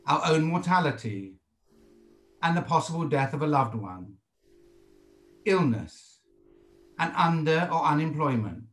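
A British man in his sixties, a rabbi giving a sermon in a measured, formal and deliberate way over a video call from a home study. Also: on the high side, lively intonation, fairly bright tone, roomy sound, soft background music. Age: 60s. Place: indoors, home study with bookshelves. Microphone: computer microphone on a video call.

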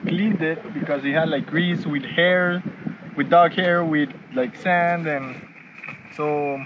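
A man's voice: on the high side, steady tone, slow pace, muffled recording. A young man on a sailboat deck giving short, laid-back answers while a camera is held nearby. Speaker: vlogger's partner. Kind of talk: casual answers. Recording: loud wind and water noise.

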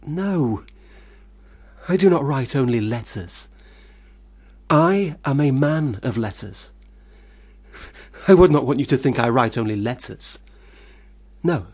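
An actor in a period radio drama playing a boastful but fragile young failed poet, husky, halting and formally earnest. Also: male voice, mid-range voice, lively intonation, a little mellow, heavily acted. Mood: defensive, earnest.

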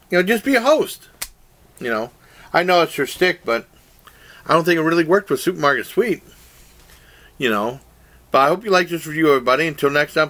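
A man's voice: fairly high pitch, pushed and bright. An older man casually reviewing a game show to his webcam in a small room, rambling with many fillers and restarts. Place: small room indoors, plain wall. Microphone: webcam at desk.

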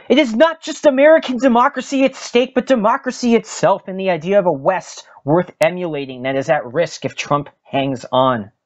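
A man hosting a podcast, giving a dramatic, solemn reading of an opinion article with emphatic, deliberate pauses. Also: fairly high pitch, lo-fi sound. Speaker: podcast host.

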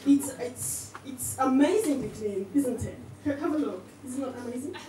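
A young woman from Poland with a Polish accent doing a stand-up set in character as a cleaning lady, talking in a chatty, halting way full of fillers and giggles, on a small club stage with a handheld mic. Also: sits high, animated, warm tone. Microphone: handheld mic, heard from a distant camera. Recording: roomy club sound from far off with audience noise.

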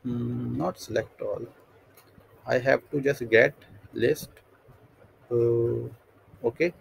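A man giving a screen-recorded software tutorial, thinking aloud step by step with many fillers and restarts. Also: mid-range voice, steady tone, takes it slowly, creaky, a little hiss or hum, low-quality recording. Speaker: tutorial instructor.

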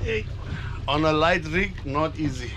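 Older man, excited boat fishing commentary, slow drawn-out talk, a bit high, loud engine and wind noise behind. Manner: coaching, repeats short calls. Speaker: fishing guide filming the trip. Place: open boat at sea. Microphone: action camera on board. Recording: noisy boat and sea wind.

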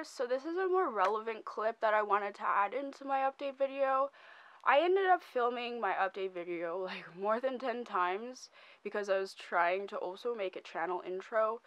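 A young adult woman chatting casually to camera from her bedroom, with soft laughs and fillers. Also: on the high side. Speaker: vlogger. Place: small bedroom, indoors.

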